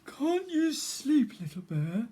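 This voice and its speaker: A man voicing a big, patient, gently weary fatherly bear in a coaxing, emphatic way for a children's storybook. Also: extremely high pitch, very animated, slow pace, slightly rough, voice pushed up, exaggerated voice. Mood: weary, questioning.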